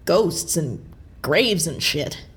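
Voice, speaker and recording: An actress playing a sardonic, foul-mouthed sceptic in an audio drama, dismissive in manner. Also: female voice, low-pitched, expressive, very slow, full character voice. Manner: sweary, dismissive.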